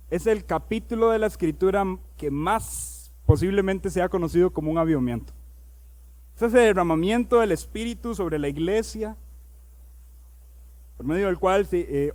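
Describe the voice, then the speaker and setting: A male pastor preaching a sermon. He reads scripture aloud, slows down on key words, and builds to loud, emphatic preaching. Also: high voice.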